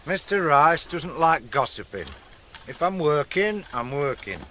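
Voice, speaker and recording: Gruff Northern father with wildly swinging pitch. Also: big pitch swings, a little slow, sharp tone, heavily acted. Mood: guarded. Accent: Northern English. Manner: gruff, clipped, dismissive. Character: curt, bitter working-class father, guarded and cold. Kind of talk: 1980s radio drama acting. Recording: faint noise on old radio broadcast.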